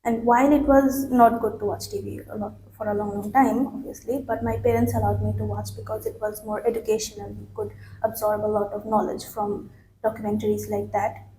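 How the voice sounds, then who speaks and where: A woman's voice: medium pitch, level tone, a little fast, mellow. A young woman from Bangladesh taking a mock English speaking test, answering with many fillers and restarts. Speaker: IELTS mock test candidate. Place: small office room, indoors. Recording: echoey room with faint noise.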